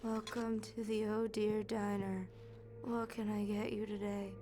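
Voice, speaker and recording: A woman playing a vacant, polite diner waitress in a video game, reciting stock greetings flatly. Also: medium pitch, a little slow, close to an everyday voice. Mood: vacant politeness. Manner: flat, recited. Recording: loud music over the voice. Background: loud music.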